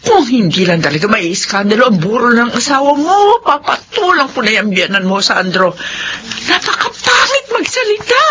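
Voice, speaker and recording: A woman's voice: a little high, sing-song, normal speed, noisy background. An actress playing an indignant, exasperated older mother protective of her son in a Filipino radio drama.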